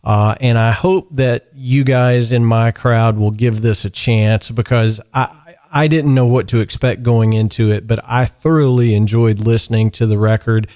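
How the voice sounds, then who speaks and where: A man hosting a podcast interview in relaxed, easygoing chat, often stumbling over words and filling pauses. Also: mid-range voice. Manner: easygoing, lots of fillers and restarts. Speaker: podcast host.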